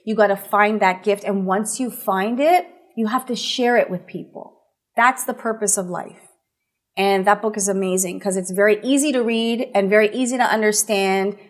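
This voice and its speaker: Earnest motivational woman guest. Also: a little high. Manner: earnest, emphatic, rhetorical questions. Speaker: interview guest, motivational speaker. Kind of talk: conversational interview, motivational.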